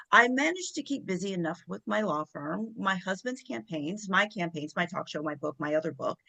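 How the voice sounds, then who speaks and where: Brief: actress for overachieving First Lady, slightly low register, smug yet gracious, composed, comic play. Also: a bit bright, full character voice. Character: polished, self-satisfied, overachieving First Lady. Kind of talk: comedy play table read.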